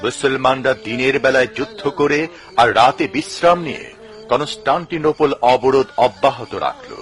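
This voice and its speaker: A male narrator with a medium-pitched voice. He reads a scripted story in a formal narrating style. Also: expressive, moderate pace.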